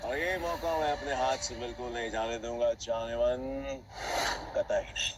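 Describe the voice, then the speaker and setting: A man's voice: mid-range voice, steady tone, normal speed, noisy background, close to an everyday voice. A man voicing a scheming, cruel gambler villain in an audio drama, taunting and dropping into menacing whispers. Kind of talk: Hindi audio drama acting. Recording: background music bed.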